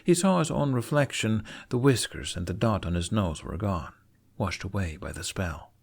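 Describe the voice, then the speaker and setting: A man's voice: lowish voice, animated, moderate pace, creaky. A man narrating a scripted fiction story expressively, as an audiobook reader. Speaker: narrator of a fan fiction podfic.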